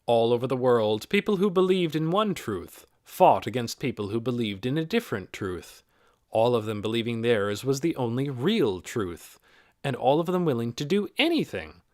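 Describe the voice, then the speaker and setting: Guy reading an audiobook, mid pitch, lots of up and down in his voice, no room sound. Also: no echo, studio quality. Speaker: audiobook narrator. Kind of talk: scripted audiobook narration.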